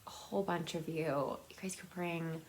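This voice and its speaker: A woman's voice: low-pitched, steady tone, moderate pace, a little hiss or hum. A woman chatting casually and enthusiastically to viewers in a rambling, conversational book vlog. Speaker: book vlogger.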